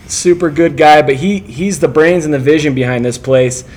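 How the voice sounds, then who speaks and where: A man's voice: a little high, moderate pace, darkish tone. A man co-hosting a casual business podcast, upbeat and jokey, repeating words as he asks questions. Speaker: podcast co-host, interviewer. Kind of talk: casual interview chat.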